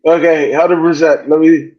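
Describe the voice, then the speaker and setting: A man's voice: on the high side, flat delivery, normal speed. A man hosting a podcast in casual chat, profane and rambling, joking as he goes. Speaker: podcast host. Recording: dull lo-fi sound.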